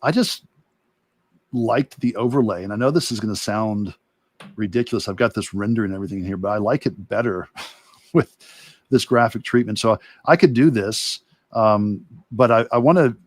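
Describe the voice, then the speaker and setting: Male illustrator-instructor, lowish pitch, reflective and halting, casual demo delivery, very dry recording. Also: no room sound. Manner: reflective, halting, long rambling answers. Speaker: illustrator and course instructor demonstrating. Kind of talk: casual demo talk while drawing.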